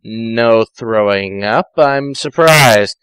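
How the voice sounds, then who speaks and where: A man reads a story aloud at an unhurried pace, cutting in with sarcastic asides. His voice is bright and forward, recorded close and dry. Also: medium pitch, clear, resonant tone, no echo. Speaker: amateur fan fiction reader.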